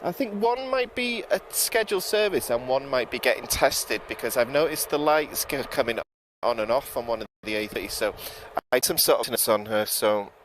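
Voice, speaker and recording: A man's voice: mid-range voice, normal speed, few pauses, slight background noise. Chatty British man commentating outdoors. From United Kingdom. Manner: relaxed and chatty, with frequent ums and self-corrections. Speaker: live plane-spotting commentator. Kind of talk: live-stream commentary, reading out viewer chat. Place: outdoors at an airport at night.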